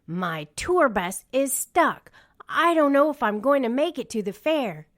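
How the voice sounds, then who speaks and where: A woman's voice: high voice, big pitch swings, a little slow, fairly bright tone, pitched above the performer's own voice, heavily acted. A woman voicing a friendly, dramatic, heartfelt male singing star in a children's storybook. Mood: worried.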